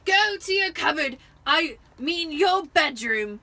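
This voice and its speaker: A woman's voice: high-pitched, expressive, pushed and bright, voice pushed up, heavily acted. A woman voicing an angry, bossy middle-aged uncle with a snapping, strained delivery in a storybook reading. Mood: furious, wheezing. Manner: snapping, wheezing, forced slow delivery. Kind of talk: dramatic amateur audiobook reading.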